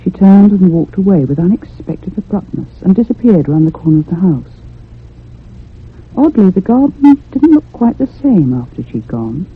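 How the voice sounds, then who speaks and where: A woman playing an anxious narrator recounting a haunting in a radio drama, in a husky, literary manner. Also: fairly low pitch, very animated, heavily acted. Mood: brooding, measured. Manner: literary, measured storytelling. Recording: tinny, band-limited old radio sound.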